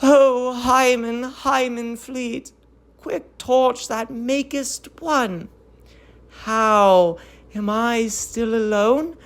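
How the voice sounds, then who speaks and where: A woman performs a doomed young princess and prophetess of Greek tragedy. Her voice is high and slow, with creaky edges, declaiming in an ecstatic, vengeful incantation. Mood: frenzied rapture. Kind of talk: classical tragedy dramatic reading.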